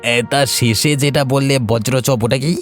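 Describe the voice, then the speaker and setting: A man's voice: medium pitch, fairly even intonation, unhurried, close to an everyday voice. A man playing a curious, chatty villager in a cartoon fairy tale, with a folksy way of talking. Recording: faint music bed.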